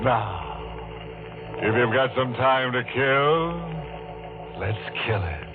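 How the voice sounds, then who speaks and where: A man's voice: mid-range voice, natural voice. An actor playing a gleefully morbid, mocking horror host on a 1940s radio show, savoring his macabre puns. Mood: gleeful, teasing. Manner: macabre punning, slow savoring delivery, chuckles. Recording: muffled old radio recording, organ music.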